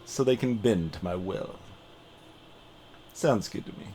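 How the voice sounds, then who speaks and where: A man live-streaming a game with playful, animated delivery, chatting casually and reading the game text aloud. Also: lowish voice, unhurried. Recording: music behind the voice. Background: game music.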